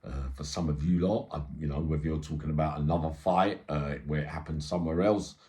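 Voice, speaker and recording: A man's voice: extremely low pitch, steady tone, a little fast, dark tone. A middle-aged man telling an eyewitness story informally, in streetwise slang with frequent check-in tags. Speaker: storyteller and channel host.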